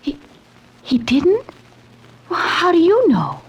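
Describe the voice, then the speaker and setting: A woman's voice: extremely high pitch, wildly swooping pitch, slow pace, heavily acted. An actress playing a troubled, hesitant young woman in a 1940s radio drama. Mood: hesitant, anxious.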